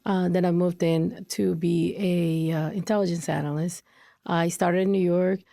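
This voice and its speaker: A woman from Ecuador tells her career story as a podcast guest, chatty and full of fillers. Her voice is low and gravelly with a mellow tone, and the sound is close and dry. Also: steady tone, normal speed, dry recording. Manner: chatty storytelling with fillers, acts out her own past reactions. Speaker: podcast guest, compliance analyst.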